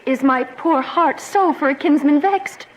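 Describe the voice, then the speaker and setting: A woman acts a lovesick young noblewoman with a high, passionate voice. She delivers archaic verse fervently and with anguish, as in a classical radio tragedy. Light noise and ambience sit behind her. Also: measured pace, rough recording. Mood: sorrowful, guarded. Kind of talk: Shakespearean radio drama acting.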